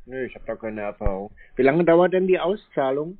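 A man's voice: medium pitch, expressive, fairly quick. Man asking questions, phone-like sound. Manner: persistent questioning. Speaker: scambaiter posing as customer. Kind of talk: casual phone conversation. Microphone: telephone call. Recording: narrow phone-like call audio.

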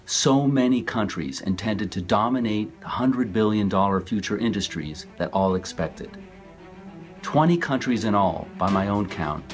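A man with a fairly low voice tells his story in a measured, scripted narration. Music plays clearly beneath him throughout. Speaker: author narrating his book trailer. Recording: music bed under the voice.

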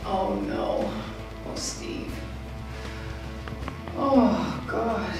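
A woman's voice: mid-range voice, drawn-out words. An actress playing a frightened, foul-mouthed woman held captive in a film, blunt and emotional. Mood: nauseated, distraught. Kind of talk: dramatic film acting. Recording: echoey bare room, distant mic, music.

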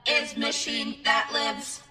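High, monotone, slow-talking robot. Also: female voice, loud music behind the voice. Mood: flat, menacing. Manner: stilted broken speech, speaks of itself by name. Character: childlike mechanical robot servant, blunt and dangerous. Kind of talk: old-time radio acting.

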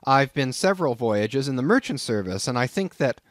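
A man's voice: mid-range voice, big pitch swings, normal speed, dry recording, studio quality, natural voice. A man voicing a polite, eager young sailor asking for a berth. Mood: earnest. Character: earnest young male sailor seeking a berth.

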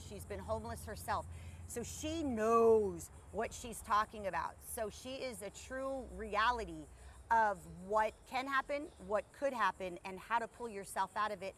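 A woman's voice: medium pitch, a bit bright, slight background noise. Passionate woman podcast host. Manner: passionate, preachy, repeating phrases. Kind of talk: podcast interview intro. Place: outdoor garden. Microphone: lapel.

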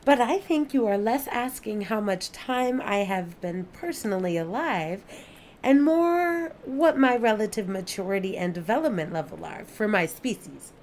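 A woman's voice: medium pitch, animated, moderate pace, a little mellow, slight background noise, close to an everyday voice. A woman playing an earnest, curious, newly adult alien in an audio play, speaking in formal, precise, stilted sentences.

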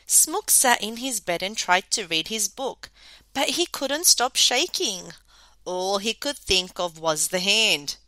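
A woman reading a children's story aloud as an expressive storyteller. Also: mid-range voice, slow, no room sound.

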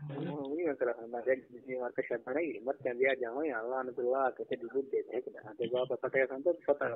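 A man's voice: medium pitch, steady tone, fairly quick. A man with a Saraiki accent chatting casually and intimately as a caller on a recorded phone call. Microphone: telephone line.

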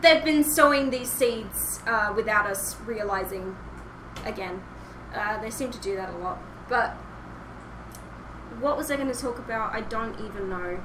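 A young woman podcasting in casual fan chat from a room at home, rambling and hesitant as she goes. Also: a little high. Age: young adult. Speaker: podcast host. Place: small home room indoors, online call. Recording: roomy, noisy headset recording.

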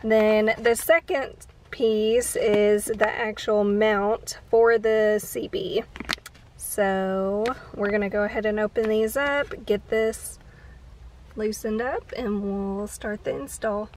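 A woman's voice: mid-range voice, steady tone, slow pace, a little mellow, slight background noise, poor audio. An American woman in her thirties narrating a casual how-to vlog from inside a parked open-top vehicle. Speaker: vlogger.

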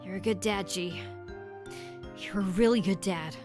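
A woman voicing a caring, earnest penguin secret agent in a cartoon game story, speaking gently and casually. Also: mid-range voice, measured pace, over music, pitched below the performer's own voice, lightly acted. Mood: warm, casual.

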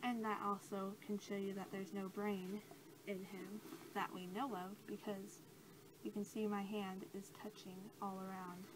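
Young woman rambling softly in an asmr scientist roleplay, kinda hesitant, mid pitch, clear noise on the camera mic. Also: little pitch movement, measured pace. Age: young adult. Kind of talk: ASMR, soft-spoken improvised roleplay. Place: small cluttered room indoors. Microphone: camera mic at about arm's length. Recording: clearly noisy amateur camera audio.

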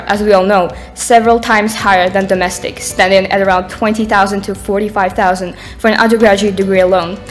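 Teenage girl from Ukraine giving an earnest speech. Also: medium pitch. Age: teens. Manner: earnest, reading from notes, rises in intensity near the end. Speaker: student speaker. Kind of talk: prepared speech read aloud. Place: conference stage in a dark hall.

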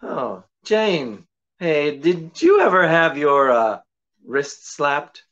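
A middle-aged actor playing a suave, teasing detective with a wry, unhurried delivery in old-time radio comedy style. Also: male voice, fairly high pitch, big pitch swings, fairly bright tone, heavily acted. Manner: unhurried, wry, hesitating for effect. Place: indoors, small draped room. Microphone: video call. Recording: muffled video-call audio.

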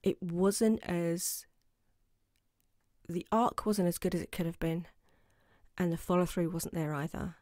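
A woman's voice: fairly low pitch, dark tone, no echo, studio quality. A woman playing a playful, affectionate girlfriend in an intimate ASMR roleplay, with a teasing manner. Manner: teasing, giggly, sometimes dropping to a whisper. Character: playful affectionate new girlfriend, proud martial arts instructor. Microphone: close microphone in a dry room.

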